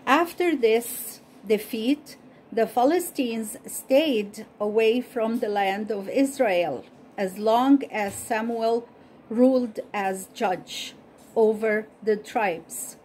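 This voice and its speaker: A woman whose first language is Arabic, slowly and carefully reading a Bible story aloud and repeating study questions as host. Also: fairly high pitch, darkish tone, slight background noise, low-quality recording.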